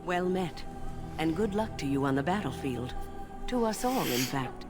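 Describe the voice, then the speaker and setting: An actress playing a calm, kindly older woman mage in a video game, speaking in a measured, gracious way. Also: low-pitched, music in the background. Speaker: game character. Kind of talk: voiced game dialogue.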